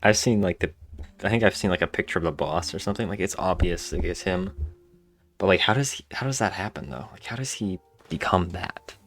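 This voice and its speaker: A man's voice: sits low, expressive, brisk, fairly bright tone. A man doing casual game commentary, informally musing aloud as he plays. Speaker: gaming video host. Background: faint game music.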